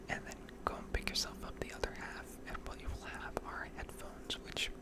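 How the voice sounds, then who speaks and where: Whispering young woman, rambling ASMR. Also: fairly quick. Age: 20s. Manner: rambling, digressive. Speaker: gaming ASMR creator. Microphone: close condenser mic.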